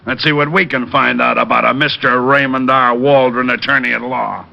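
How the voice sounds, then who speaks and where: An actor playing a gruff, skeptical American police detective in a mid-century radio drama. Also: male voice, medium pitch, fairly even intonation, normal speed, very noisy. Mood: skeptical, businesslike. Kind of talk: 1940s-50s radio detective acting. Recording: dull vintage radio recording, music behind.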